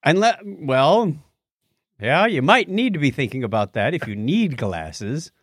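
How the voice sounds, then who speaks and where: A man's voice: mid-range voice, big pitch swings, unhurried, dry recording, clean studio sound. A man co-hosting a podcast, chatting casually and reading out items in a jokey, bantering way. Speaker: podcast co-host. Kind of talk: casual chat with listener feedback and trivia.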